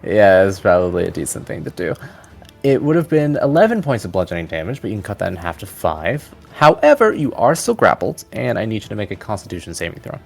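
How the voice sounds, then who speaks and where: Guy running a fantasy tabletop game, lowish creaky voice, music behind him. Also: big pitch swings, a little fast. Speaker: game master. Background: background music.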